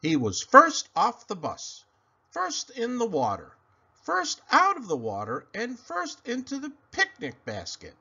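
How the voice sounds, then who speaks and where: A man reading a children's picture book aloud in an animated, dramatic, sing-song way. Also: extremely high pitch, unhurried, husky. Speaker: read-aloud storyteller. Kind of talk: expressive children's story reading. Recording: dull lo-fi sound.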